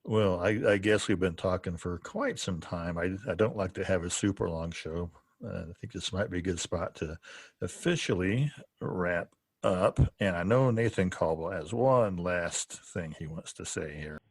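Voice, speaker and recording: A man hosting a relaxed podcast chat, with a very low voice and a husky grain to it. He talks loosely and chuckles between thoughts. The sound is dry and close to the mic. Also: moderate pace, dry recording. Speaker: podcast host. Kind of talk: casual conversational interview.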